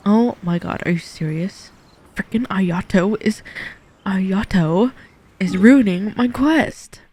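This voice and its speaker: A woman's voice: mid-range voice, takes it slowly, darkish tone, breathy edge. A woman streaming a game, giving informal, emotive reaction commentary into a close mic. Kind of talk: casual gameplay reaction commentary.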